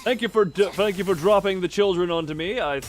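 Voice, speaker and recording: A man's voice: high-pitched, brisk. A man doing casual livestream game commentary, thinking aloud in a rambling way and often trailing off mid-sentence. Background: game music.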